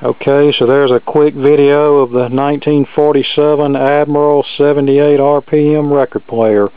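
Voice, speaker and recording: A man's voice: a little high, steady tone, normal speed, clean tone, dry recording. A man giving a casual, matter-of-fact demonstration as a hobbyist, recorded on a camera microphone in an indoor workshop. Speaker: hobbyist demonstrating restored vintage electronics. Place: cluttered indoor electronics workshop. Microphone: handheld camera microphone. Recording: noisy, thin phone-like camera audio, loud hum.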